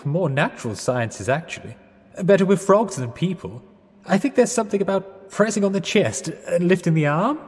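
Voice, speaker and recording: An actor playing a hapless, apologetic young English student in a radio drama, hesitant, literal-minded and politely dithering. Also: male voice, high-pitched, lively intonation, normal speed. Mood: bemused. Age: young adult. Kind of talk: 1920s-set radio drama acting. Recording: long echoing reverb with music underneath.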